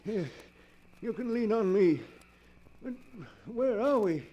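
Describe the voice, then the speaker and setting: A man acting a scheming, commanding professor swindler in a 1940s radio drama, with a theatrical, deliberate delivery. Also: sits high, expressive, dark tone, muffled recording, heavily acted. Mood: urgent. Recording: vintage 1940s radio recording.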